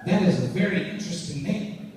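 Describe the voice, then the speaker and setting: A man's voice: high-pitched, lively intonation, a little fast. A man voicing a curious stranger at an airport who asks plain, nosy questions, recorded in an echoey hall. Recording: echoey hall, distant mic, noisy crowd.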